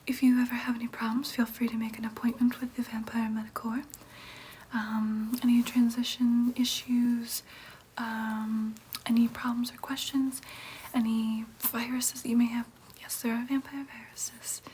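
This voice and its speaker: A breathy, whispering vampire nurse acted by a woman for an ASMR roleplay. She is gentle and soothing yet clinical, as if talking a patient through a routine procedure. Her delivery stays very even, with a faint wash of noise underneath. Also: a little high, moderate pace, lightly acted. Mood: brisk, helpful. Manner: soothing, clinical, hesitant asides.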